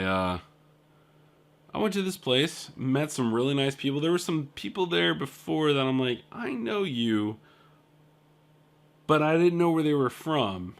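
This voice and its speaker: A young man telling a rambling, casual story about his trip in a video diary, full of fillers and asides. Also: medium pitch. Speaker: indie game developer.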